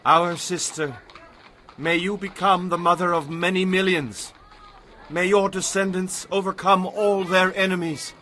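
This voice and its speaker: A man's voice: high-pitched, fairly even intonation, unhurried, sharp tone, a little hiss or hum. A man playing a courteous, protective kinsman of a bride in a dramatized audio Bible, with formal delivery. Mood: solemn blessing.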